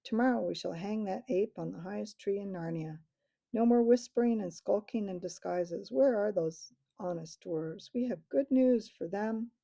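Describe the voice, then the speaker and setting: Woman doing a stern storybook king, stately old-timey talk, husky. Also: medium pitch, moderate pace, warm tone, no echo. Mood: rousing. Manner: stately, old-fashioned speech. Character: young king, commanding and stern.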